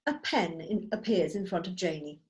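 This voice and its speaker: A woman's voice: lowish voice, moderate pace. A woman narrating scripted stage directions at a drama table read held over a video call.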